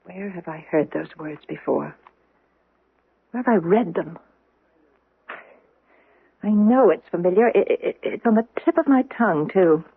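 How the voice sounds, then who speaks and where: Earnest, husky-voiced housekeeper. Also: female voice, fairly low pitch, a little slow, long pauses, heavily acted. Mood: puzzled, searching. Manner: prim, insistent, searching for words. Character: earnest, firm housekeeper, a woman with a nagging hunch. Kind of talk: old-time radio drama acting. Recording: thin, narrow-band old radio broadcast.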